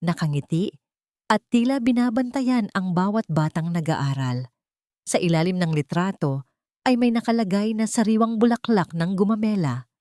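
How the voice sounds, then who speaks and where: A woman reading a scripted dramatic story as narrator, in measured, sentimental short phrases. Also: medium pitch, expressive, dark tone, no echo, studio quality.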